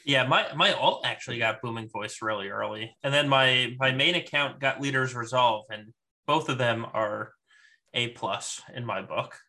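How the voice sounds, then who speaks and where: Bright-voiced male gaming podcaster. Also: mid-range voice, normal speed. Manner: informal, conversational. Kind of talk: casual chat about a mobile game.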